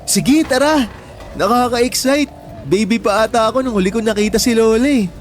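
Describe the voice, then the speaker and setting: A man's voice: very high-pitched, normal speed, faint music behind. A young man playing a sensitive, affectionate younger brother in an emotional radio drama, speaking in a boyish, earnest way. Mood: excited. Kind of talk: radio drama acting in Tagalog.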